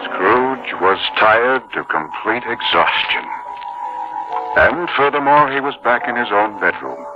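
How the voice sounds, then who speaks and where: A man's voice: mid-range voice, big pitch swings, takes it slowly. A man giving scripted narration for a 1950s radio drama. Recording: dull old radio, loud music behind.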